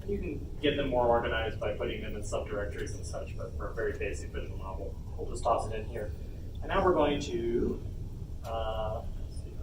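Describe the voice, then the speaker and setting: A man's voice: lowish voice, expressive, fairly quick. A man giving an informal live workshop tutorial, thinking aloud as he works through the steps on a computer. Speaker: workshop presenter. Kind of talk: live software tutorial, explaining while typing. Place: convention panel room. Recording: distant roomy mic with clear background noise.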